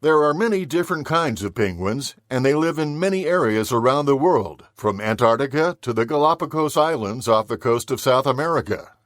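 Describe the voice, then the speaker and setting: Male educational narrator, mid-range pitch, measured and informative, very dry studio-like sound. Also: animated, no echo. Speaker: children's audiobook narrator. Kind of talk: scripted nature documentary-style narration for children.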